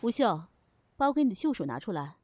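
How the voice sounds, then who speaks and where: A woman's voice: mid-range voice, very animated, fast talker, darkish tone, lightly acted. A woman playing a confident young innkeeper in a fantasy audio drama, commanding and teasing. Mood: brisk, commanding. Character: confident young female demon-inn boss, brisk and playful. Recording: noisy background ambience.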